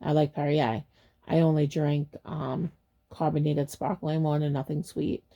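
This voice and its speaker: A woman's voice: low voice, level tone, measured pace, vocal fry, warm tone, highs cut off. A woman hosting a faceless storytime channel, telling a personal story in a rambling, chatty way and acting out her own reactions. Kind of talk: casual storytime monologue.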